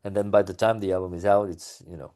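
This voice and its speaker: A man's voice: low voice, fairly even intonation, fast, darkish tone. A man being interviewed about his music, talking casually with many fillers and restarts.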